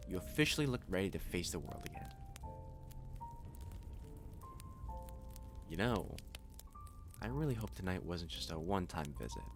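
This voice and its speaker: A man as a low-voiced, playfully doting catboy waiter. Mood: warm, reassuring.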